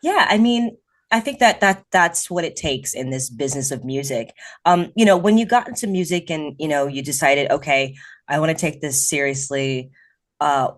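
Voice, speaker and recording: A woman with a low voice hosts an interview podcast. She asks questions in a rambling, chatty way, full of fillers. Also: expressive, normal speed. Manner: warm-hearted, rambling, many fillers. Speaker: podcast host. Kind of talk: casual interview chat.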